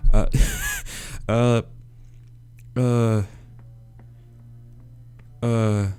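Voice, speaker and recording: A man playing a visual novel, reading the dialogue aloud and muttering and swearing as he picks answers. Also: lowish voice. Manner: hesitant muttering with swearing, then steady reading. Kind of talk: let's play, reading dialogue and reacting.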